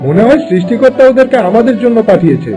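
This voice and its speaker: A man voicing a tender, excitable king in a cartoon fairy tale, speaking in a theatrical, wondering way. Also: extremely high pitch, fast, muffled recording, faint music behind. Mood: earnest.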